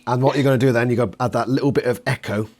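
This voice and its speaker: A man hosting a casual interview, chatty and quick to interject. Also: medium pitch, fairly even intonation, darkish tone. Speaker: interviewer and channel host.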